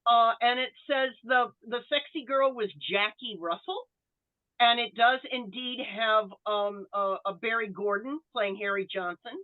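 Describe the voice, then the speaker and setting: A woman's voice: fairly high pitch, measured pace. A woman panelist on a podcast, casually reporting details she has looked up, over a thin lo-fi connection. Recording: muffled, dull lo-fi connection.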